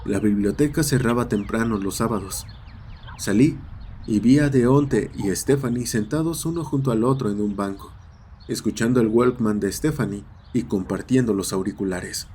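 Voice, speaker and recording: A man's voice: fairly low pitch, moderate pace, warm tone, a little hiss or hum. A man narrating a scripted horror story. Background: ambient sound effects.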